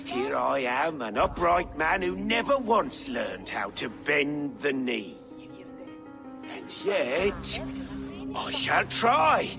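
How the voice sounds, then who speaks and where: A proud old deposed king in a game cutscene, his voice gravelly and theatrical. He speaks very slowly with a high, swooping pitch. Music plays clearly behind him. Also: big pitch swings, heavily acted. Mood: mocking. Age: older. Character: deposed proud old male king, mocking then begging. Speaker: game character.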